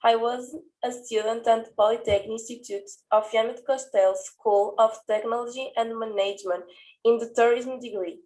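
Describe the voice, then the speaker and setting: A woman from Portugal, a former tourism student, asking a brisk, direct question. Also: fairly high pitch, steady tone, darkish tone. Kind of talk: prepared video question.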